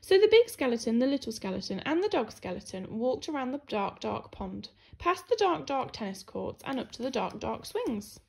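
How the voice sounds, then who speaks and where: A woman's voice: on the high side, sing-song, moderate pace, gravelly, few pauses, breathy edge. A woman teacher reading a children's story expressively, with emphatic, dramatic phrasing. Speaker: primary school teacher.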